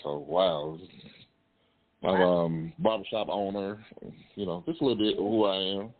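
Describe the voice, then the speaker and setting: A man's voice: deep voice, steady tone, measured pace. A man in his fifties with an Atlanta Southern accent, calling in to a talk show with jovial, slangy banter and repeated catchphrases. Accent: Atlanta Southern American. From Atlanta, Georgia, USA. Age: 50s. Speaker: call-in guest. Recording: muffled, band-limited phone call audio.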